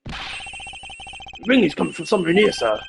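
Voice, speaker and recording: A man voicing an earnest, excitable police detective, loud and deferential to his superior. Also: high-pitched, unhurried, gravelly, noisy background, voice pushed up, exaggerated voice. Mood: urgent. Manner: eager, loud, ends sentences with sir.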